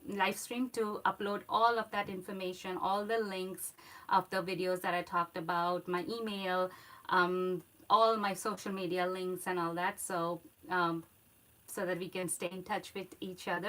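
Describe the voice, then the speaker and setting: A woman hosting a casual art livestream, speaking English as a second language, with a soft voice and a slow, meandering delivery full of fillers and run-on sentences. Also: mid-range voice. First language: not English. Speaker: art channel host.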